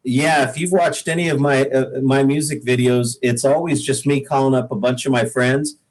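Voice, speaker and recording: A man's voice: mid-range voice, fairly even intonation, a little mellow. A man talking casually as a singer-songwriter guest in an interview, often repeating words as he gathers his thoughts. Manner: halting, repeats words while thinking.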